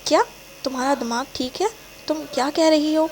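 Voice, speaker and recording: A woman's voice: on the high side, fairly even intonation, natural voice. A woman voicing a callous young man who harshly dismisses his girlfriend on the phone in a storybook reading. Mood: angry.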